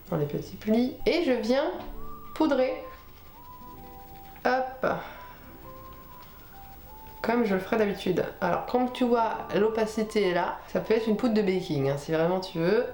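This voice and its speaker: Female beauty vlogger, informal tutorial chat, medium pitch, stuffed up with a cold, echoey room sound. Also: darkish tone. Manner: chatty, informal, talking while applying makeup. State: sniffly with a head cold. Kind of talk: casual makeup tutorial chat. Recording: roomy echo with music behind.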